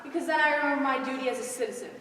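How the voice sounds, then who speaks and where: A woman's voice: high-pitched, rapid-fire, sharp tone. A teenage girl acting a stern, humorless magistrate in a school stage comedy, with pompous, formal, emphatic delivery. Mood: stern, defensive. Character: stern humorless female magistrate, indignant and duty-bound. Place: school stage, recorded from the audience. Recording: echoey hall from far off, noisy.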